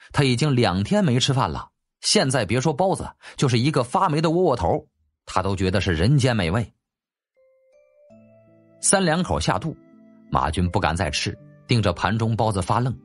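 Animated male audiobook narrator, low-pitched. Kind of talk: scripted novel narration.

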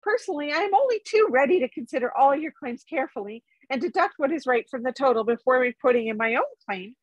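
A woman reading a storybook, playing a small, fussy, polite male hobbit, nervous but plucky, with a squeaky voice and chatty, hedging manner. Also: lively intonation, quick pace, dry recording, muffled recording. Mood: earnest, persuasive.

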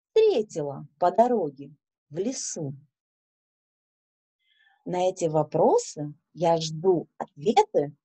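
Unhurried, expressive woman reading to children. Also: medium pitch, breathy edge. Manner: deliberate, expressive, instructive. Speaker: children's story reader. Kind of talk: storytelling to children. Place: small indoor room with bookshelves.

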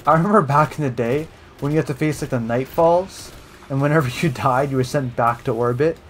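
A man's voice: mid-range voice, fairly quick, slight background noise. Casual young man muttering over gameplay. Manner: casual, muttering and exasperated at enemies. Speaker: gamer commentating a playthrough.

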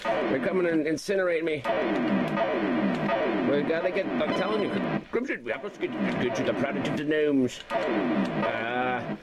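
A man's voice: on the high side, moderate pace, pauses often, over loud music, close to an everyday voice. A man playing an embittered, rambling spaceship commander in an amateur science-fiction audio drama, agitated and defiant. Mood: alarmed. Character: embittered male spaceship commander and struggling artist, ranting defiantly. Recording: very noisy home-made tape recording.

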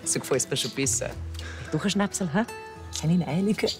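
A woman's voice: lowish voice, animated, brisk, over music, natural voice. A woman playing a caring, cheerful bakery keeper with a Bavarian accent in a TV comedy, coaxing as she talks. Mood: encouraging. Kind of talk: TV comedy acting.

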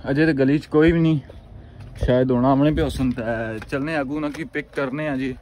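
Young man, casual village vlog, phone at arm's length, clear background noise, outdoors. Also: fairly high pitch, flat delivery, brisk, darkish tone. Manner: chatty, addresses viewers as friends. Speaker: vlogger. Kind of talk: casual vlog chat to camera. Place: outdoors in a village, fields and courtyard. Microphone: phone camera at arm's length.

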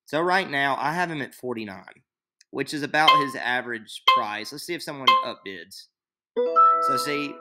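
A young man explaining a draft on screen in a casual, rambling way, full of fillers, recorded at his computer in a small room. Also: mid-range voice. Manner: rambling, filler-heavy, thinks aloud. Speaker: fantasy league tutorial maker. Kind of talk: casual screen-share tutorial.

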